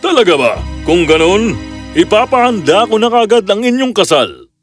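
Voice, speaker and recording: A man's voice: sits high, very animated, normal speed. A man voicing a matter-of-fact king and father in a children's fairy tale, casual and offhand in manner. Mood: breezy. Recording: faint music behind the voice.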